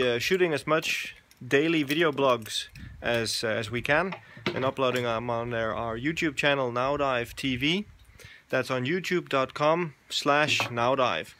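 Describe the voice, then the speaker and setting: A man in his forties talks into a phone camera held at arm's length outdoors, his voice at a middle pitch. He speaks haltingly, fills gaps with "um", and stops to find his words. Faint outdoor noise sits behind him. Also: sharp tone. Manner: hesitant, many fillers, searches for words. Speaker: dive instructor vlogger. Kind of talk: casual selfie vlog. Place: outdoors, covered shelter and lawn.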